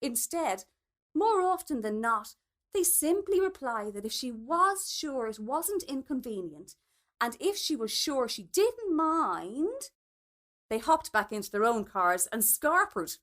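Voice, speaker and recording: A woman narrates literary fiction. Her voice sits fairly high and moves with lively, varied intonation. She reads expressively, as a storyteller does. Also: slow pace. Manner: expressive, acts out dialogue. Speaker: short story reader.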